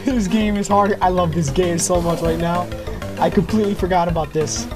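A young man doing casual gameplay commentary, talking to himself in profane, slangy, excitable bursts. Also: high voice, normal speed. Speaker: gamer. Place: at home at night. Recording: music behind the voice. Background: music.

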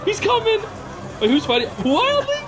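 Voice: very high-pitched, very animated, normal speed. A young adult hosting casual gaming and reaction commentary, gushing in slangy exclamations. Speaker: host of a gaming reaction channel. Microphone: home recording on a makeshift travel setup. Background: music.

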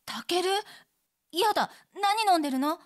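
A woman's voice: very high-pitched, lively intonation, moderate pace, no echo, clean studio sound. An actress playing a wistful young woman recalling a lost love in an audio drama. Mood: alarmed. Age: young adult. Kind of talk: audio drama dialogue and narration.

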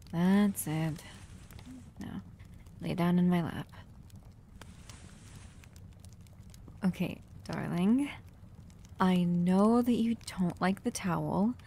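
A woman acting a protective, doting human caretaker of a vampire in an intimate, soft-spoken ASMR roleplay, often dropping toward a whisper. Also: fairly low pitch, takes it slowly, pauses often. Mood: tender, coaxing. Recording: clear background noise and ambience.

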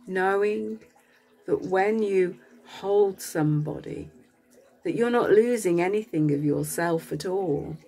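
A woman narrating a guided meditation as a coach, speaking gently in short phrases with long pauses between them. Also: on the low side, low-quality recording.

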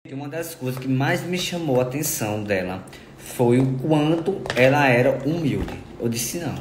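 A man's voice: medium pitch, animated, moderate pace, background noise. Chatty young man filming a selfie Q&A. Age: 20s. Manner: chatty, affectionate, playful. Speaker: social media influencer. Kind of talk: casual selfie Q&A. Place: indoors at home, bedroom and kitchen. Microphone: phone at arm's length.

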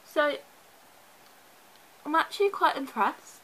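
A woman's voice: high-pitched, lively intonation, slow pace, loud music behind the voice, low-quality recording. Chatty young woman with a cold. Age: young adult. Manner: chatty, anxious, lots of "like". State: congested from a cold. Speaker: vlogger. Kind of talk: casual vlog chat to camera. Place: bedroom at home. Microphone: handheld camera close up.

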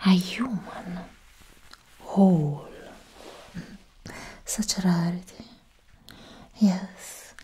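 Stern alien government agent, woman acting: low, breathy near-whisper, haughty and unhurried, ASMR roleplay. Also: warm tone, heavily acted, low-quality recording. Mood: haughty, curious. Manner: slow, deliberate, condescending. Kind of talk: soft-spoken ASMR roleplay, half whispered. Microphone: close microphone. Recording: close-miked with audible background hiss.

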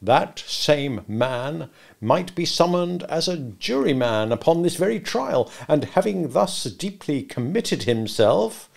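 A domineering gentleman interrogator from a storybook, acted out by a man, raised and emphatic in pitch, with a gravelly creak. He presses each question with sarcastic, bullying insistence. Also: big pitch swings, takes it slowly, exaggerated voice. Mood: bitter, accusing. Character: bullying, sarcastic gentleman stranger, cross-examining like a lawyer. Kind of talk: dramatic audiobook character reading.

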